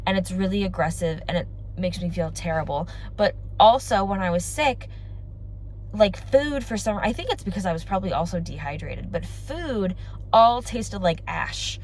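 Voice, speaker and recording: A woman's voice: on the low side, animated, moderate pace, a little mellow, a little hiss or hum. Congested young woman chatting casually. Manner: chatty, rambling, fillers like "like". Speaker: family vlogger. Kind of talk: casual chat to camera.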